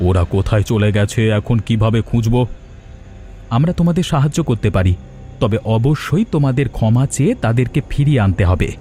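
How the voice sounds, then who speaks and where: A man's voice: mid-range voice, lively intonation, a little fast, mellow, a little hiss or hum, close to an everyday voice. A man playing a dutiful grown son in a cartoon, earnest and reasoning. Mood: earnest persuading. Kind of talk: Bengali cartoon voice acting.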